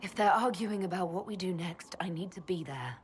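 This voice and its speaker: A woman plays a young resistance leader in a fantasy game. Her voice is on the low side, plain-spoken and direct. She sounds determined and skeptical, pragmatic in how she puts things. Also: animated, fairly quick, slight background noise, natural voice. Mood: concerned, determined. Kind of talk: dramatic video game cutscene dialogue.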